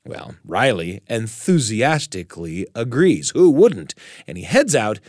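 Lively male storytelling host, dry close sound. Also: lowish voice, unhurried, dry recording. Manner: dramatic, rhetorical questions. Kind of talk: animated storytelling narration.

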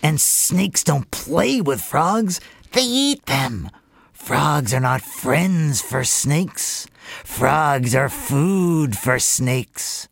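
A man voicing a harsh, commanding mother snake who lays down the law in slow, stern words. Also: a little high, very animated, gravelly, slightly rough, exaggerated voice.

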